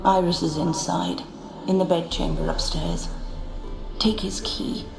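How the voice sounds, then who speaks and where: Low-voiced, solemn spirit servant. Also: female voice, very animated, in a whisper. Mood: cool, measured. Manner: measured, formal, aloof. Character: solemn, cryptic female spirit servant in animal body. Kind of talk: video game character dialogue. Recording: echoing reverb, game music behind.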